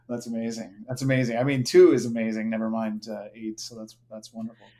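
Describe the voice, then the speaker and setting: A man's voice: on the low side, flat delivery, fairly quick, warm tone, slight background noise. A man interviewing a guest on a podcast, encouraging him with short affirmations and light laughs. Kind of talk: casual interview chat.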